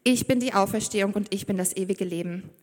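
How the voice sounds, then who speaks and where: A woman voicing Jesus as a calm man teaching with authority, in a read-aloud Bible story. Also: mid-range voice, quick pace, natural voice. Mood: reassuring. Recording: reverberant church hall.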